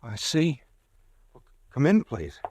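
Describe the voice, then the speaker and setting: A man's voice: mid-range voice, wildly swooping pitch, mellow, a little hiss or hum. A man playing a nervous young pharmacist caught up in a scheme, in a 1940s radio drama. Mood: guarded. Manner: tense, jittery, fast when scheming.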